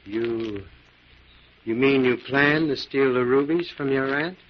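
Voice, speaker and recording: An actor playing a tough, wary moneylender in a 1940s radio crime drama, talking in blunt slang. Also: male voice, medium pitch, slow, slight background noise, lightly acted. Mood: skeptical. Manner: blunt, slangy, hard-bargaining. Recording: muffled old 1940s radio recording.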